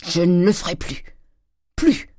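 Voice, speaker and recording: A woman voicing a gruff, miserly old father who cajoles and blusters in short exclamations, in a storybook reading. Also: mid-range voice, big pitch swings, a little slow, warm tone, no echo, pitched above the performer's own voice. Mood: frantic coaxing. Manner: exclamatory, wheedling, abrupt short phrases.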